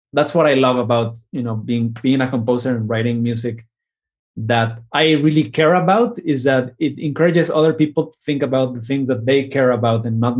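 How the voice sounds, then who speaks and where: A man's voice: medium pitch, darkish tone. Man musing thoughtfully. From Mexico. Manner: thoughtful, many restarts and tag questions. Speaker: composer. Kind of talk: conversational interview.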